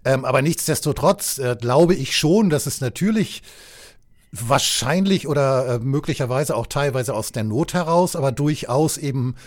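Measured, hesitant man, mid voice. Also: mid-range voice, animated, a little mellow. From West Germany. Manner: measured, hesitant, long-winded. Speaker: discussion partner. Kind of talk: casual political chat.

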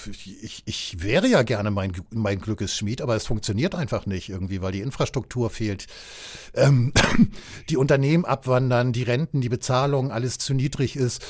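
A man from West Germany in a casual political chat, speaking in a measured, hesitant, long-winded way. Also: on the low side, darkish tone. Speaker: discussion partner.

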